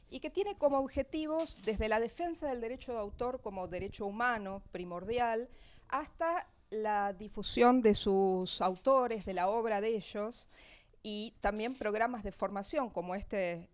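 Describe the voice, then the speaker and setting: A woman with an Argentine Spanish accent giving an informative public presentation of a programme, halting now and then between items. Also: mid-range voice. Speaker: event presenter, press officer of an authors' association. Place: live talk at an association venue.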